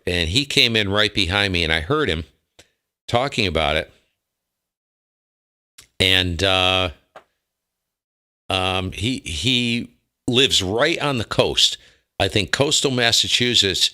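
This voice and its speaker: A man's voice: low-pitched, sharp tone, clean tone. An American man chatting casually on ham radio, enthusiastic and full of slang. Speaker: ham radio operator and streamer.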